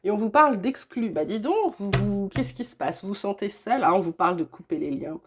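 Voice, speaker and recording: A woman's voice: medium pitch, expressive, fairly quick, background noise. An older woman with a cold, reading weekly tarot guidance from home in a chatty, reassuring way with frequent hesitations. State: has a cold. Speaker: tarot reader.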